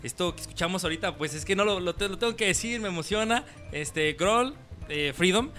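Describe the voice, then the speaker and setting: A man's voice: sits high, expressive, brisk, sharp tone, faint music behind. A man with a Mexican accent hosting a casual radio interview, informal and repeating phrases for emphasis. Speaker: radio show host.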